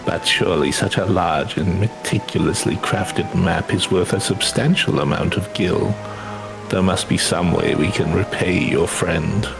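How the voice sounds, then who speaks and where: A man playing a courteous, gentle villager in a video game, speaking in a formal, measured way. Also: deep voice, creaky, poor audio.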